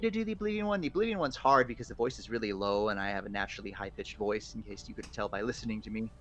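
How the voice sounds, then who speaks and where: A man chatting casually as a podcast guest, friendly and quick to laugh. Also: lowish voice, fairly bright tone, slight background noise. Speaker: guest voice actor.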